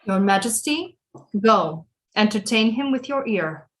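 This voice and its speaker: A woman's voice: a little high, lively intonation, measured pace, rough recording. A woman playing a proud, grieving Queen Mother in a period audio drama, formal and stately. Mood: commanding. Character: grieving, proud Queen Mother, middle-aged, stern and regal.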